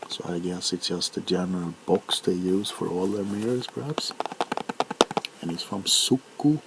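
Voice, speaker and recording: A very low-voiced man chats casually in ASMR style, halting and correcting himself as he goes. The recording has clear background noise, with clicks, tapping and crinkling of packaging behind the voice. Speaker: video host showing items. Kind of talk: ASMR, casual rambling chat.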